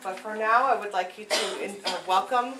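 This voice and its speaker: A woman's voice: mid-range voice, normal speed, crowd noise. A woman hosting a library program, introducing it to an audience in an informal, encouraging way. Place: room at a public library. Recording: echoey room with clear background noise.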